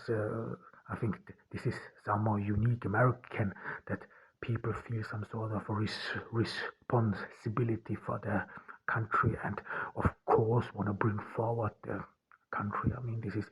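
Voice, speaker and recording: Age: adult. Sex: man. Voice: very husky, low, flat. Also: rough recording. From Austria. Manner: halting, repeats and restarts words often. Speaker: author hosting a podcast. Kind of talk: personal monologue.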